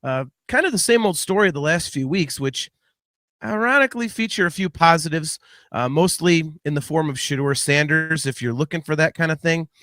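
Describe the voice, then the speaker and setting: A man hosting a sports podcast solo, giving blunt, opinionated commentary in a casual, halting way with some mild swearing. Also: on the high side, fairly bright tone, no room sound, studio quality. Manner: blunt, opinionated, mildly profane.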